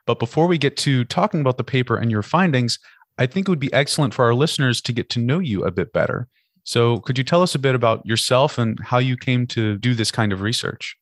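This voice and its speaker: A man's voice: mid-range voice, fairly quick, dry recording, clean studio sound. A young man in his twenties, a graduate student hosting a podcast, reading an introduction and interviewing politely. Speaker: podcast host.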